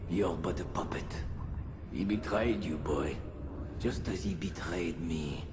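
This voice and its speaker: An actor playing a proud, bitter Templar grand master with a French accent, taunting in a theatrical, deliberate way. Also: male voice, low-pitched, background noise. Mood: bitter, mocking. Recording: echoey reverberant hall, music behind.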